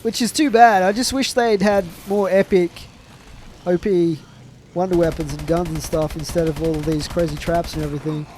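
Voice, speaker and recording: A man's voice: high-pitched, fairly even intonation, moderate pace, a little mellow, a little hiss or hum. A young man with a stuffed-up voice from a chest infection, giving casual, rambling commentary over a zombie shooter game. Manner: chatty, trailing off with fillers. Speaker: gaming commentator.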